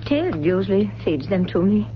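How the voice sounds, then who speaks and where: An actress playing a wealthy, fragile older widow, grieving and forgetful, with genteel, theatrical delivery in a 1970s radio drama. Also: female voice, medium pitch, very animated, normal speed, close to an everyday voice. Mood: resigned, wistful. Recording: dull, noisy, humming old radio recording.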